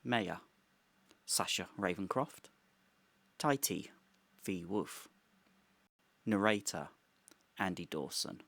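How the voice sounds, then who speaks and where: A man's voice: low voice, animated, slow pace, creaky, pauses often, noisy background. A man announcing cast credits in a scripted style, name by name.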